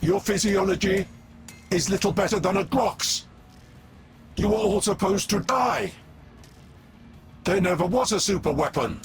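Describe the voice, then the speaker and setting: High-voiced, disdainful cyborg tech-priest. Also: male voice, unhurried, pauses often. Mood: incredulous, scornful. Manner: clipped, formal, disdainful. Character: pedantic, scornful, scheming cyborg tech-priest, coldly logical. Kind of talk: video game character acting. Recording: clear game music behind.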